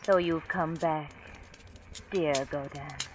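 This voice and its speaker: A woman's voice: low-pitched, fairly even intonation, takes it slowly. A woman playing a secretive, devoted lover in a vintage radio drama, with dramatic, breathless intensity. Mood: entranced adoring. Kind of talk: 1940s-50s radio drama acting. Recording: noisy, crackly vintage radio recording.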